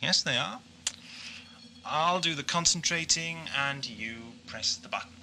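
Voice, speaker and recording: A man playing a brainy, eccentric time-travelling adventurer in an audio drama, brisk and explanatory. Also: on the high side, lively intonation, vocal fry, sharp tone, a little hiss or hum, exaggerated voice. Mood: keen, thoughtful. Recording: music behind amateur audio drama.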